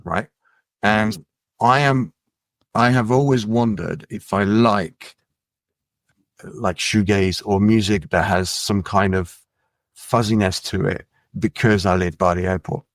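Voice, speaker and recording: Middle-aged man from France, lowish voice, laid-back rambling guest, recorded dry and close. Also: takes it slowly, dry recording. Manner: relaxed, musing, trails off with fillers. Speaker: musician and label head, guest. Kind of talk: casual interview chat.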